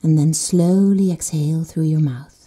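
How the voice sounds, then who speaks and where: A woman leads a guided sleep meditation in a slow, soothing manner. She often drops to soft whispers. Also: low voice, steady tone, slightly rough. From Netherlands.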